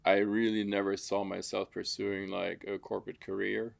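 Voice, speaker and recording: Man, German accent, deep-ish voice, creaky edge, conversational, interview guest. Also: level tone, normal speed. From Frankfurt, Germany. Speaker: interview guest, construction business founder. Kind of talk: casual interview, personal storytelling.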